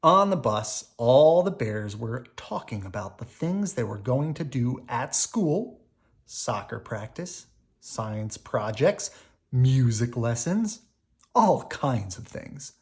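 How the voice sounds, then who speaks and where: A woman reading a children's storybook aloud in an animated, unhurried way for young listeners. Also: very deep voice. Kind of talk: expressive read-aloud storytelling.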